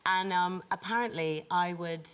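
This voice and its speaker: A woman's voice: lowish voice, a little slow, fairly bright tone, smooth. An actress on a talk show sofa, giggling bashfully as she shares a childhood memory. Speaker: guest actress. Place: TV studio with audience.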